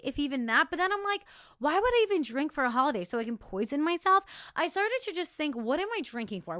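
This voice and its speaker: A young woman with a raspy, high and bright voice delivers an emphatic motivational monologue straight to the listener. She hosts her own podcast and sounds preachy and intense. The recording is dry and close. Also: no room sound. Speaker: podcast host.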